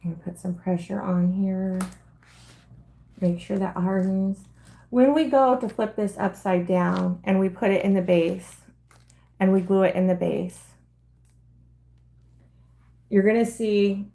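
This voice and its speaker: A middle-aged woman with a slightly hoarse voice, hosting a live stream and chatting her way through a craft demonstration step by step. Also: medium pitch, mellow. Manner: chatty, rambling, talks through each step.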